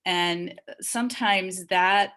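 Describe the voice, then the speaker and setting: Slow, low-pitched woman speaking thoughtfully. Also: fairly bright tone. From Massachusetts, US. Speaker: dance group leader and panelist. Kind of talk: reflective discussion on a video call. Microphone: video call.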